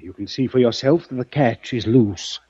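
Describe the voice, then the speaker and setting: An actor playing a desperate, earnest doctor and snake expert in an old-time radio drama, polite, halting and pleading. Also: male voice, medium pitch, dark tone, slightly rough, no room sound, close to an everyday voice. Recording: dull, dry vintage radio recording.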